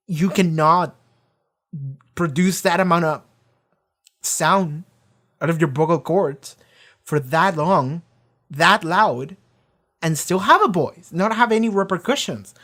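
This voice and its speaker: A reaction video host in a casual, rambling discussion, often talking loudly, swearing freely and filling pauses with lots of "like" and "um". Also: medium pitch. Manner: swears freely, many fillers and restarts; often talks loud.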